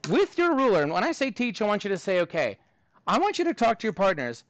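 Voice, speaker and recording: High-voiced Texan math teacher. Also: male voice, wildly swooping pitch, quick pace, a bit bright, a little hiss or hum. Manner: chatty, joking asides to students. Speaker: middle school math teacher. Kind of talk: classroom teaching. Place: school classroom.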